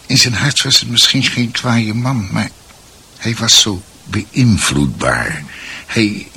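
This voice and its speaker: A grave, earnest storyteller in a radio drama. His deep voice is very husky and moves slowly and deliberately, with lively rises and falls. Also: full character voice. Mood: earnest, regretful. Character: grave man recounting a troubled family's past.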